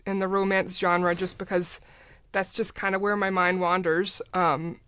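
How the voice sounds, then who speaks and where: A woman's voice: fairly low pitch, flat delivery, measured pace, a bit bright, clear, resonant tone, no room sound. A middle-aged woman talking casually as a guest in an interview about her creative work. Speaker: podcast guest, audio drama writer and producer.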